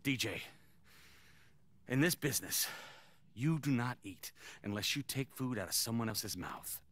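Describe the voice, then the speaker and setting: An actor playing a fast-talking, overeager small-time sports agent with an emphatic, grandiose sales pitch in a video game cutscene. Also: male voice, expressive, a bit bright, dry recording. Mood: fired up, intense. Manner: emphatic, theatrical, salesman-like pep talk.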